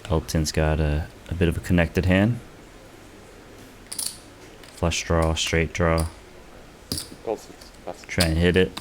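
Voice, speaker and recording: A deep-voiced man calls a poker cash game. He walks through each hand in a measured, analytical way. Chip clicks and table clatter are clearly audible behind him. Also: level tone, a little hiss or hum. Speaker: poker commentator. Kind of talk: play-by-play commentary.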